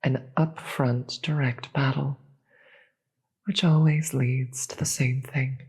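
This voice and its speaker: A performer voicing a weary, regal demon queen with a husky voice and formal, theatrical manner in a scripted audio roleplay. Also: medium pitch, fairly even intonation, darkish tone. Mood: condescending, amused. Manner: theatrical, formal, slow deliberate delivery.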